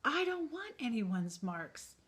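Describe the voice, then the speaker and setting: A woman's voice: very animated, takes it slowly, a bit bright, heavily acted. Woman doing a worried little wooden boy. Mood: anxious. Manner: anxious, pleading, high.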